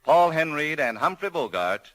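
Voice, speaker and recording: A man's voice: a little high, big pitch swings, normal speed, a bit bright. A man announcing in 1940s radio style on an old broadcast recorded before an audience.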